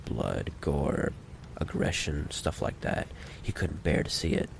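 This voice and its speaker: A man playing a menacing, possessive mafia boss in an ASMR roleplay monologue, with a low, gravelly voice and a deliberate, coolly condescending delivery. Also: lively intonation, slight background noise, full character voice. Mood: cold storytelling.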